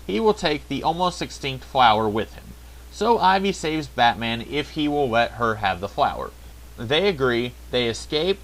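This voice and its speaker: A man's voice: fairly high pitch, a bit bright, rough recording. A man narrating a scripted review, with wry, sarcastic asides. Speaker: video reviewer.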